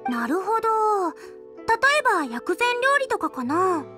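Game young cook: cheerful eager girl, earnest, very high voice, music behind. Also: very animated, a little slow, pushed and bright, full character voice. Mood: eager, friendly. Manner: perky, earnest. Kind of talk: Japanese game voice acting.

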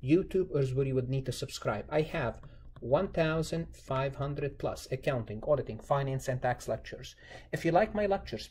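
Husky male lecturer, mellow tone. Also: mid-range voice. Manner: emphatic, repeats key phrases, asks and answers own questions. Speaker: accounting lecturer. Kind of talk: instructional lecture, explaining a worked example.

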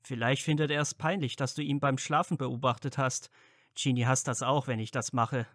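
A man plays a young wizard in an audio drama, a caring and slightly awkward friend. He speaks casually and earnestly in a medium-pitched voice, with a very dry, close sound. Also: steady tone, moderate pace, a bit bright, no echo, natural voice. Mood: curious. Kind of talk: fan fiction audio drama acting.

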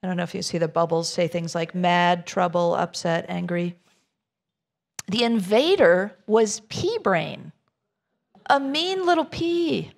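A woman's voice: medium pitch, gravelly. A middle-aged woman minister reading a rhyming children's story aloud, expressively, with informal asides to her listeners.

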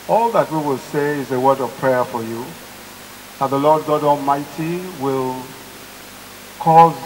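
A man's voice: medium pitch, slight background noise, poor audio. A Nigerian man leading a fervent public prayer in a rhythmic cadence, holding a handheld microphone in a church. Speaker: clergyman praying. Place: large church hall.

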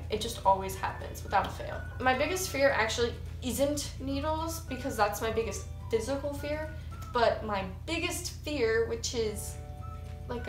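A chatty eighteen-year-old woman with an American accent talks to her viewers, her voice sitting a bit high. She sounds a few feet from the camera in a small room with bounce off the walls, and faint music plays underneath. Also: echoey room, distant mic, rough recording. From United States. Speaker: YouTuber. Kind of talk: casual get-to-know-me vlog. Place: small room with cinder block wall and couch, indoors. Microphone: camera mic a few feet away.

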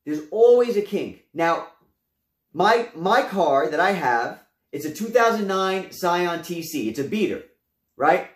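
A young man reviews home gym gear, talking to his viewers in a lively, gesturing way. His voice sits high for a man. The camera mic is a few feet off, so the small room's echo comes through. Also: unhurried. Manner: animated, gestures a lot, addresses viewers as guys. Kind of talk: casual product review to camera. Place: small room at home, indoors. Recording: roomy echo.

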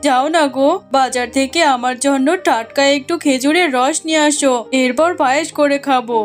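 High, nagging tiger wife voiced by a woman. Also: fairly even intonation, normal speed, soft background music, natural voice. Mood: cajoling. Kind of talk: cartoon voice acting.

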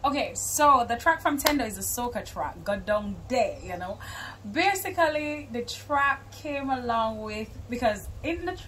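A young adult woman with a Jamaican accent, chatting in an animated, informal way as a musician being interviewed. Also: on the high side, measured pace, slight background noise, rough recording. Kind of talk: casual interview chat. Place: outdoors on a porch by a garden.

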